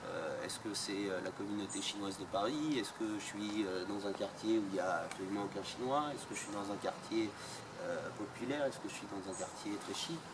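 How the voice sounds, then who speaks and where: A man's voice: lowish voice, brisk. A man being interviewed about his photojournalism project, answering at length with frequent hesitations. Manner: hesitant, many fillers. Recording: distant mic, loud outdoor noise.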